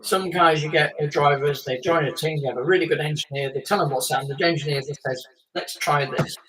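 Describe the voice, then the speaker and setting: A man's voice: fairly high pitch, steady tone, fast talker, bright, forceful tone, distant mic, poor audio. A man in his late fifties, a guest on a live chat, telling long stories with many fillers and small laughs. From England. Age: 50s. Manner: rambling storyteller with fillers and laughs. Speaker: guest, former racing driver.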